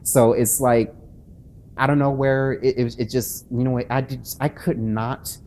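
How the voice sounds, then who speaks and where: A man's voice: mid-range voice, little pitch movement, a little mellow, a little hiss or hum. A man talking casually to his viewers late at night, nervous and sleepless, stumbling over restarts and fillers. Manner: halting, many restarts and fillers; nervous, self-conscious. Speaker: author and channel host. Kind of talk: casual talk to camera.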